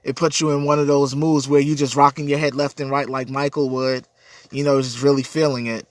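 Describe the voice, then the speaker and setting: A man hosts a casual livestream with a stuffy, congested voice, since he is under the weather with a cold. He talks earnestly and rambles, repeating words as he answers viewers. His pitch sits in an ordinary middle range, and the sound is close and dry with no room echo. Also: little pitch movement, a little fast. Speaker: livestream host. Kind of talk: casual livestream chat reading viewer comments.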